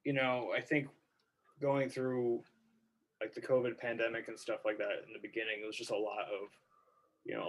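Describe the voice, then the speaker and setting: A man's voice: mid-range voice, fairly bright tone, poor audio. A man, a musician, chatting casually about his songs, rambling with lots of fillers. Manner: rambling, full of "like" and "you know". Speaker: musician guest. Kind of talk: casual interview chat.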